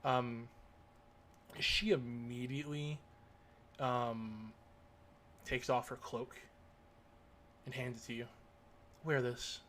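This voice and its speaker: A man running a tabletop roleplaying game speaks slowly and haltingly, filling his pauses with hesitation sounds. His voice sits at a middle pitch. A faint room ambience hums behind him. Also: flat delivery, a bit bright, slight background noise. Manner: halting, filler-heavy. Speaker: game master.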